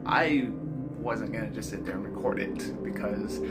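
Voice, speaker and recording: Young man's casual phone video, loud music. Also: on the low side, moderate pace. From Wyoming, United States. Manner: informal, filler-heavy, addresses viewers directly. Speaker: social media video creator. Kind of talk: casual selfie-video storytelling.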